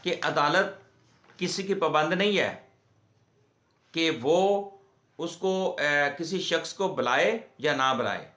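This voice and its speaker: A man with a Pakistani accent giving an informal legal lecture to viewers in a halting, repetitive way. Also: fairly high pitch, bright, forceful tone. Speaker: legal explainer, host of a law channel. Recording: echoey, reverberant room sound.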